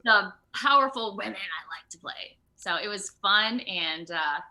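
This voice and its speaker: A woman who works as an actor, answering questions as a guest in a casual video interview with an animated, emphatic manner. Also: medium pitch, unhurried, a crowd in the background. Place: remote online video call.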